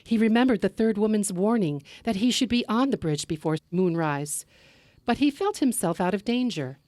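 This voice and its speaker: A woman telling a story live, narrating in an expressive, measured way with dramatic delivery. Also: mid-range voice, no room sound.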